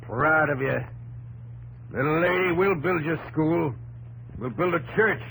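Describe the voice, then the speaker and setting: A fiery American newspaper publisher of the frontier in a 1950s radio drama. His voice is fairly high, blustery and emphatic, with folksy phrasing, over a slightly noisy, humming old broadcast. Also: a little slow, creaky, fairly bright tone. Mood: proud, gallant. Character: fiery frontier newspaper publisher, hard-nosed vigilante leader.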